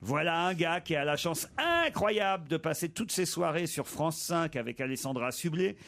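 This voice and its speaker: A man's voice: a little high, expressive, normal speed, gravelly, a bit bright. A middle-aged man hosting a comedy radio show, reading listener mail aloud in a playful way. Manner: playful, reads jokes aloud with mock voices.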